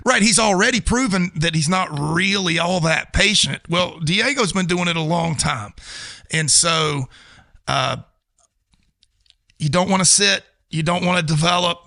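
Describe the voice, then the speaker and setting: A man's voice: sits high, measured pace, creaky, pushed and bright, no room sound. An American man co-hosting a sports talk show, giving casual, opinionated commentary in an emphatic way.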